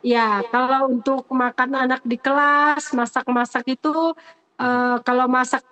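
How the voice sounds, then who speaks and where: A woman's voice: sits high, fairly even intonation, a little slow, bright, forceful tone, clean tone. A woman, a teacher answering interview questions off the cuff, earnest and hesitant, often repeating her phrases.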